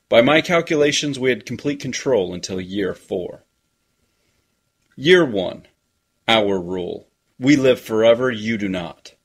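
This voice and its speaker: Husky immortal played by a man. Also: mid-range voice. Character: immortal male being coolly addressing humankind. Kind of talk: first-person fantasy story narration.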